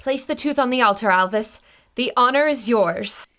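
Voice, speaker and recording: A woman's voice: on the high side, moderate pace, a bit bright, close to an everyday voice. An actress playing a female radio reporter who narrates the adventure to listeners in a vivid, descriptive way.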